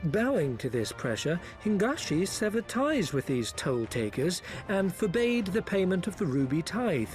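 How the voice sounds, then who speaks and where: A man in a game cutscene giving a formal, measured briefing. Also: on the high side. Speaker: informant briefing the heroes. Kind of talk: video game cutscene acting.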